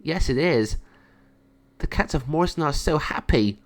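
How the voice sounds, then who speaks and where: Young male storybook cat hero, earnest and friendly, animated intonation male reader performing. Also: on the high side, normal speed, voice pushed up. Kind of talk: children's storybook read-aloud.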